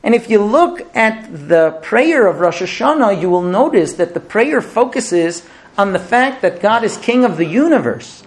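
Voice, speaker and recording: Animated rabbi giving a sermon. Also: male voice, high voice, moderate pace. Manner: storytelling, rhetorical questions, repeats words. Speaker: rabbi teacher.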